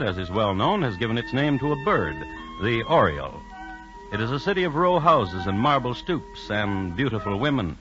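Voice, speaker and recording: A man narrating a crime series in vintage radio style, with droll, ironic, measured phrasing. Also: medium pitch. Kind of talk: 1950s radio scripted storytelling. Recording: dull vintage radio recording with music.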